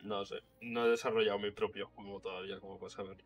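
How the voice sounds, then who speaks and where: Dry, teasing low-voiced man. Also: flat delivery, fast, highs cut off. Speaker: co-streamer. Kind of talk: casual gaming chat. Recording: faint game music behind.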